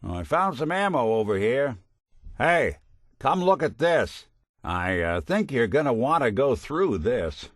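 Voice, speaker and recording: An actor playing a world-weary, loyal robot detective in a video game, with wry, folksy 1940s private-eye slang. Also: male voice, medium pitch, big pitch swings, normal speed, hardly any pauses, no echo, studio quality. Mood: casual, alert. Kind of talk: video game companion voice lines.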